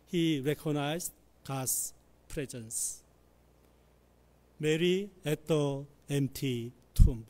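Slow, slightly husky preaching man. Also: fairly high pitch, darkish tone. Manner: slow, measured preaching with careful phrasing. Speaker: pastor. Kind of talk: sermon. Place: church sanctuary, at the pulpit. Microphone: pulpit microphone.

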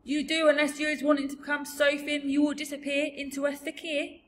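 A woman voicing a huge, very old, gentle male giant from a storybook, with mangled grammar and invented words. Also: sits high, level tone, fairly quick, a little hiss or hum, pitched above the performer's own voice, lightly acted. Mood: wistful, explaining. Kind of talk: storybook character voice.

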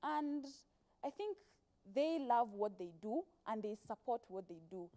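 A woman's voice: fairly high pitch, lively intonation, unhurried, dark tone. A woman in her twenties with a Kenyan accent, chatting casually as a guest in a TV interview and telling stories with quoted speech. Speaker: guest, actress. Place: TV studio, seated on a sofa. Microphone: clip-on lapel mic.

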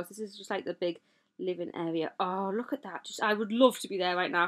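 A woman hosting a gaming channel, chatting casually and gushing over a building as she tours it, rambling with self-deprecating asides. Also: mid-range voice. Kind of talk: casual game tour commentary. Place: voice-over over gameplay footage.

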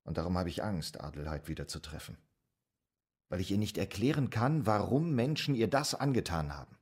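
Radio drama casting: deep-voiced, measured psychoanalyst, serious and troubled, period Vienna. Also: male voice, lively intonation, darkish tone, husky, heavily acted. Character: earnest, troubled male psychoanalyst in 1920s Vienna. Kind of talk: German radio drama acting.

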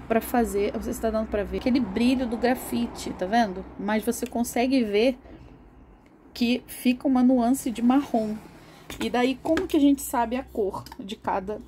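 Slightly hoarse chatty woman. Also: on the high side, mellow, a little hiss or hum. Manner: chatty, rambling, with laughs and restarts. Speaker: art channel host. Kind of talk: casual chat demonstrating coloring.

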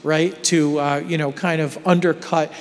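A man's voice: a little high, fairly even intonation, a little slow, slight background noise. A middle-aged man teaching a Bible study class in a church sanctuary, talking conversationally and checking in with his listeners. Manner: conversational, often checks in with "right?". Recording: reverberant church hall.

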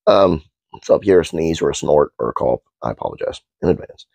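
Deep gravelly congested man. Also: no room sound. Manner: relaxed, halting with frequent uhs. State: congested, stuffed-up nose. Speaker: host. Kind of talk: casual trivia narration.

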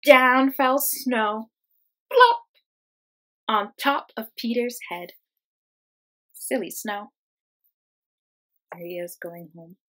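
A young woman, a teacher reading a children's storybook aloud, expressive and explaining things simply for young listeners. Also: on the high side, drawn-out words, pauses often. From United States. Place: small room at home, indoors.

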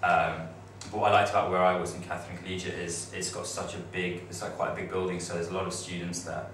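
A man's voice: deep voice, little pitch movement, fast talker. A young man chatting loosely to fellow students in a classroom, full of fillers and restarts. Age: young adult. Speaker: student on a study-abroad panel. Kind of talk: casual informal talk to students. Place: classroom with projector screen. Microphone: far camera. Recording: echoey room, distant mic, noisy.

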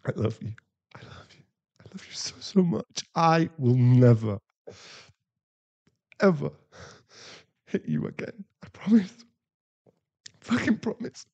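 A man acting a remorseful, tender werewolf boyfriend in an intimate ASMR roleplay, halting and emotional, often breathy. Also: fairly high pitch, very animated, a little mellow, muffled recording, exaggerated voice. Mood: ashamed, tender. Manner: halting, emotional, pleading, with sighs and breathy drops into whispers.

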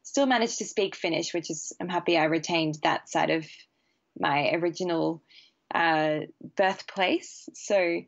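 A young woman, a bassist chatting casually as a podcast guest in a relaxed, easy way. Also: a little slow, creaky, muffled recording. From Australia. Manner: relaxed, chatty, laughs easily. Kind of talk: casual interview chat.

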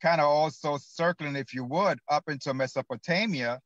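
A man's voice: a little high, normal speed, fairly bright tone, dry recording. Man explaining carefully over a lo-fi call. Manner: careful, restarts to correct his wording. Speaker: panelist speaking on biblical history. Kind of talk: lecture-like explanation. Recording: dull, lo-fi online call.

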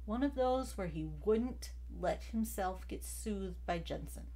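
A woman's voice: mid-range voice, lively intonation, takes it slowly, warm tone. A woman narrating a story from a script as an amateur audiobook reader, occasionally stumbling over a word. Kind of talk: scripted fiction narration, read aloud.

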